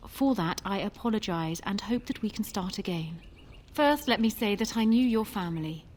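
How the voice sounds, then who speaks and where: A woman's voice: mid-range voice, expressive, darkish tone, a little hiss or hum, lightly acted. An actress as a formal, composed noblewoman. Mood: courteous, contrite. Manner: formal, courteous, deliberate. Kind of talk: video game character dialogue.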